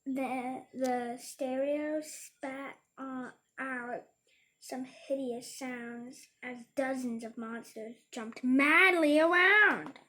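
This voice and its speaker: A woman's voice: sits high, steady tone, drawn-out words, bright, forceful tone. A young girl reading a rhyming storybook aloud and chatting casually, stumbling over and repeating words. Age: child.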